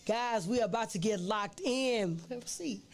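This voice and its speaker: A woman's voice: on the high side, moderate pace. A woman hosting a casual interview, rambling and restarting her words with many fillers. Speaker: host.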